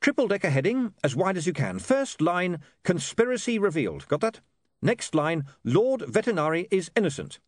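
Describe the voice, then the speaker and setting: Guy as a young newspaper man, polite but determined, earnest, audiobook, raised lively pitch. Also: normal speed, dry recording, clean studio sound. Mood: urgent.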